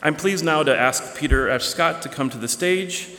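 A man acting as emcee, making brisk, matter-of-fact announcements at a ceremony. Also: a little high, bright, forceful tone, some chatter behind. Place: large auditorium. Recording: reverberant auditorium.

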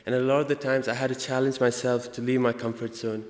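A young man with an Indian accent gives an earnest prepared student speech, halting now and then to correct himself. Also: medium pitch, little pitch movement. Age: young adult. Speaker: student speaker. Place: large indoor hall, convocation. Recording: echoey hall with long reverb.

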